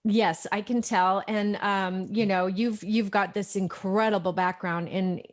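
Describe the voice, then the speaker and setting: A woman hosts a casual interview show about entertainment. She talks in an enthusiastic, gushing manner, at an ordinary middle pitch for a woman. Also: fairly even intonation, unhurried.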